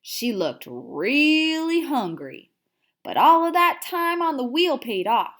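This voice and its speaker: Woman reading as a dramatic little hamster, very animated. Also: high voice, heavily acted. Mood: alarmed, indignant. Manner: theatrical, with drawn-out emphasis and hushed asides. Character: excitable, dramatic little hamster telling his diary. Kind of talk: expressive children's read-aloud.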